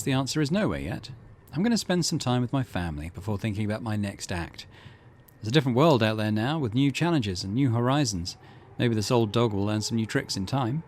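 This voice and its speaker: A man hosting a gaming let's play, reading aloud and adding casual, musing asides. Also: medium pitch. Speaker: gaming channel host. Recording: faint background noise and ambience.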